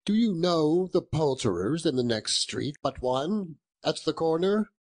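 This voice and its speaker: Male reader as elderly reformed miser for a storybook: husky, high-flying and swooping, fervent and exclamatory. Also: very animated, unhurried. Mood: giddy, delighted. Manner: exclamatory, chuckling, effusive. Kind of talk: dramatic storybook reading.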